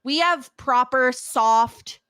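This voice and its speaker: A woman's voice: high voice, slow pace, a bit bright, clear, resonant tone, no room sound, clean studio sound. An American woman from upstate New York, co-hosting a podcast in casual, chatty talk and laughing often. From New York State, USA. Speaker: podcast co-host. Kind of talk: casual chat.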